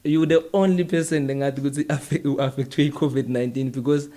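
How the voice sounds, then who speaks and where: A man's voice: medium pitch, fairly even intonation, quick pace, mellow. A man with a South African accent interviewing informally, switching between languages mid-sentence. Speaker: interview host. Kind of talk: casual code-switching interview.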